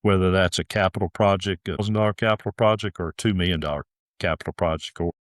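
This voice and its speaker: A man's voice: sits low, steady tone, fast, dry recording, studio quality. A middle-aged man talking earnestly as a golf course director in a podcast interview, often restarting his sentences.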